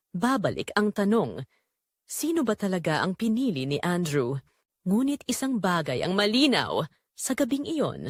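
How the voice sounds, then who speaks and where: A female narrator tells a scripted romantic drama. Her pitch moves expressively through dramatic, measured phrases with pauses, and the recording is dry and close with no room sound. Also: no room sound.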